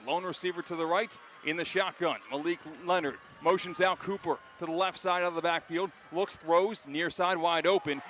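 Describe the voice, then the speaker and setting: A fairly high-voiced American man calls football live as a play-by-play announcer. His delivery is excitable and climbs toward shouting on big plays. Outdoor stadium noise sits audibly behind his voice.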